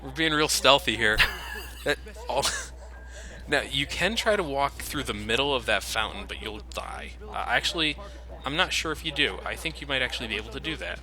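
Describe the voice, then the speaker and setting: A man's voice: medium pitch, lively intonation, moderate pace, bright, forceful tone. A man hosting a let's play with casual, sardonic, sweary game commentary.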